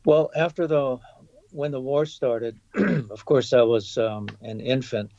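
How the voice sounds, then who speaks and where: A man in his seventies from Hawaii, a podcast guest recalling his life in a measured way, pausing often with um and uh. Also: mid-range voice, fairly even intonation, warm tone. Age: 70s. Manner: measured, with frequent um and uh pauses. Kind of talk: thoughtful reminiscing interview. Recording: dull, lo-fi dry recording.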